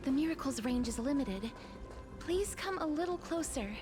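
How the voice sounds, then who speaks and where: A woman playing a gentle, polite and earnest young priestess in an anime-style video game, with tender, formal phrasing. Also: high voice, expressive, normal speed. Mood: warm. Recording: game music behind the voice.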